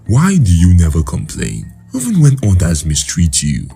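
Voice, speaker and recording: Folktale farmer, voiced by a man: wise, kind, resolute, dark mellow tone. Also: sits low, wildly swooping pitch, moderate pace, vocal fry, soft background music, exaggerated voice. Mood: concerned. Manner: earnest, resolute.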